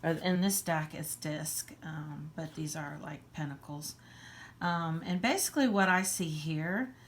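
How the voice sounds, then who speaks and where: A woman with a hoarse voice giving a casual tarot reading, halting with many ums and frequent throat clearing. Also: low voice, slight background noise. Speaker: tarot reader.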